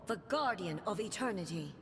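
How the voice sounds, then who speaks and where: A woman's voice: medium pitch, expressive, normal speed, fairly bright tone, a little hiss or hum, lightly acted. A woman playing a proud, merciless godlike warrior in a video game, solemn and imperious in formal declamation. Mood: cold, solemn. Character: proud, merciless godlike female warrior, cold guardian of eternity.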